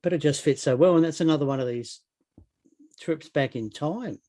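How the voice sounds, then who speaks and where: Man, Australian accent, warm mellow tone, chatty interview host, encouraging. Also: on the high side, normal speed. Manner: enthusiastic and encouraging, with filler sounds and throat clearing. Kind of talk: casual interview chat.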